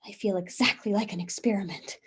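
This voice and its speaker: A woman's voice: medium pitch, fairly even intonation, fairly quick, slightly rough. Eve, a curious chatty first woman. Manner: wondering, chatty storytelling diary voice. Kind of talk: stage reading of a comic play. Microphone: video call.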